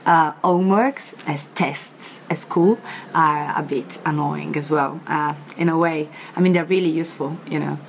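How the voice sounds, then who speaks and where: A young Italian woman with an Italian accent, teaching a vocabulary lesson to camera in a chatty way, filled with pauses and fillers, recorded outdoors on a terrace. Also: deep voice, vocal fry, a little hiss or hum, low-quality recording. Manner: chatty, many fillers, repeats words slowly. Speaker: language teacher.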